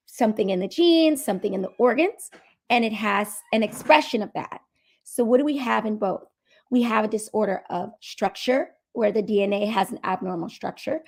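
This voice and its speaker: A woman with a cold and a hoarse voice, giving an explanatory medical talk at a seminar and repeating key points. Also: on the high side. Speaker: medical speaker.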